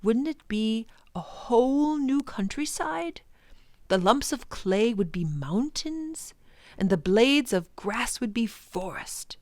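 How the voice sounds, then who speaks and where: Woman reading as a passionate, animated professor. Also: on the high side, slow, no echo, studio quality. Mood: enthusiastic, wondering. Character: older male professor, passionate, earnest, wonder-filled teacher. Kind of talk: children's storybook read-aloud.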